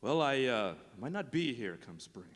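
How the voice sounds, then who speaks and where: An actor playing an eccentric, big-talking billionaire boss in a live radio play. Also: male voice, mid-range voice, very animated, normal speed. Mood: mock solemn. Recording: echoey hall, live stage show.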